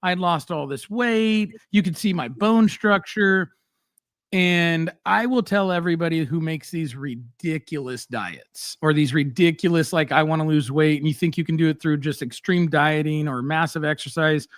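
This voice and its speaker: A middle-aged man hosting a casual live-stream chat, telling blunt, animated stories full of fillers. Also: fairly high pitch, moderate pace, dry recording, studio quality. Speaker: host.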